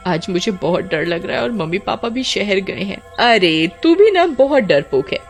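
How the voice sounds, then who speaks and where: Woman acting a lively, exclamatory young village woman. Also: medium pitch, normal speed, faint music behind. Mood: teasing, worried. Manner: exclamatory, dramatic, teasing. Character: two bantering village girlfriends, teasing, easily frightened. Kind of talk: animated horror story dialogue.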